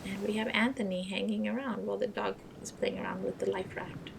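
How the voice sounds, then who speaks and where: A woman's voice: mid-range voice, flat delivery, a little fast, gravelly, noisy background. A woman narrating a children's mystery storybook as a read-aloud storyteller.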